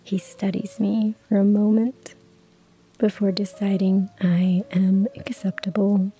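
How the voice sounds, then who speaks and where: A woman narrating a cozy story in a hushed ASMR whisper, with soft laughs. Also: medium pitch, level tone, slow, breathy edge, soft background music.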